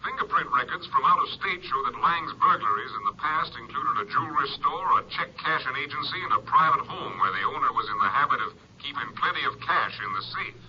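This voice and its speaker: An actor playing a businesslike police captain in a 1950s radio detective drama, speaking in a measured, official way. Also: male voice, mid-range voice, lively intonation, close to an everyday voice. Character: businesslike male police captain reporting from headquarters. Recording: muffled, slightly noisy vintage radio recording.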